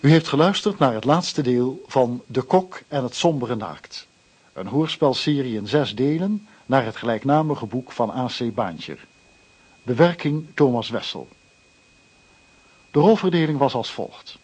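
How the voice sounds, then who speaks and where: A man reading scripted radio credits as an announcer, in a formal, measured way. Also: mid-range voice, lively intonation, a little mellow, husky.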